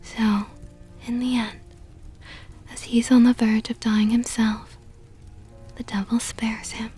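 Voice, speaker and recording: A woman voicing a seductive, possessive vampire countess in an intimate ASMR roleplay, speaking softly and close to the microphone in a teasing, condescendingly tender way. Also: fairly high pitch, little pitch movement, slow, faint music behind. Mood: hushed, intrigued. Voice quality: breathy, whispery, soft.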